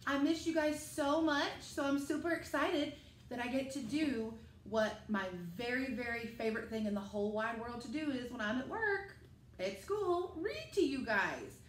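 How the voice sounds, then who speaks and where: A middle-aged woman, a school teacher, reading a picture book aloud to children and speaking to them directly in an animated way. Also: sits high, normal speed. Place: living room at home, indoors. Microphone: camera a few metres away. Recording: echoey room with faint noise.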